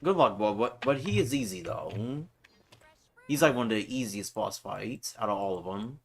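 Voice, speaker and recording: A man streaming a game and chatting loudly and offhand over the cutscenes. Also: fairly low pitch, expressive, moderate pace, creaky, breathy edge. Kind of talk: casual live game commentary.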